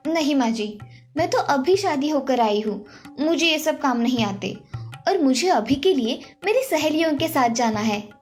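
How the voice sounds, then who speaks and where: Cartoon story role: brazen young daughter-in-law played by a woman, high voice, carefree, vain, talks back. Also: animated, moderate pace, darkish tone, soft background music. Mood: defiant. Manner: flippant, self-assured. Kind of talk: animated moral story dialogue.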